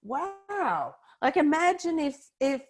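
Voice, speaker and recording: A woman's voice: sits high, sing-song, slow pace. A woman in her forties with an Australian accent, talking in a chatty, loosely structured way as an interview guest. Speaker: podcast guest, personal branding expert. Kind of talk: conversational interview, recounting own career.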